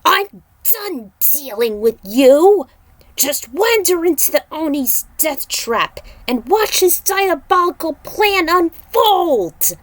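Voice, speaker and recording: Vengeful male fighter for a story read by a woman: high, forceful, furious, menacing. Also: big pitch swings, takes it slowly, no echo, full character voice. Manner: forceful, threatening. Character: furious vengeful male warrior, threatening and hot-tempered.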